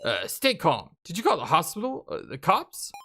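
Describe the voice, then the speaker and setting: A woman's voice: lowish voice, wildly swooping pitch, moderate pace, fairly bright tone, a little hiss or hum, exaggerated voice. A woman voicing a teenage boy in a horror game who earnestly takes charge and steadies his friend. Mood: urgent reassurance.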